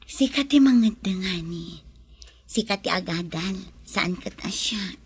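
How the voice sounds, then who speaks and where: A woman playing an affectionate young wife in a radio drama, with a breathy, coaxing voice. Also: on the low side, animated, unhurried, exaggerated voice.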